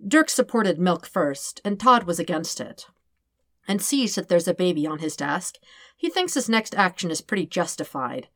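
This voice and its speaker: A woman reading fan fiction aloud as an expressive narrator. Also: lowish voice, moderate pace, no room sound. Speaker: podfic reader.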